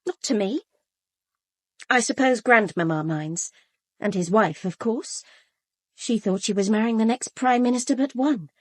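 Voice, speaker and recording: A woman's voice: on the high side, measured pace, long pauses, dry recording, studio quality. An actress playing a brittle, bitter young upper-class British woman in a radio drama, with a clipped, caustic manner. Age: young adult.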